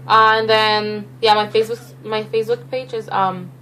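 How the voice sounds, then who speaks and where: A woman's voice: mid-range voice, flat delivery, normal speed, a bit bright, slight background noise, poor audio. A young woman from Mexico with a Mexican accent, chatting through a makeup tutorial in a rambling, self-conscious way with many restarts. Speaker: makeup vlogger.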